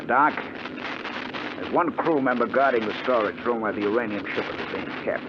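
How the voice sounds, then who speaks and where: An actor playing a calm, menacing hijacking gang leader with a deliberate, commanding delivery in 1950s radio drama style. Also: male voice, mid-range voice, natural voice. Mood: brisk, commanding. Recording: noisy, muffled 1950 radio recording.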